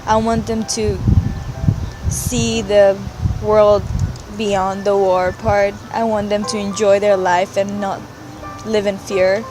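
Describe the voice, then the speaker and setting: A young woman in her late teens answers interview questions with an earnest, casual delivery. She fills her sentences with "like" and restarts them often, and her pitch sits in an ordinary middle range. Music plays clearly behind her voice. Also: flat delivery, takes it slowly, low-quality recording. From Afghanistan. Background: background music.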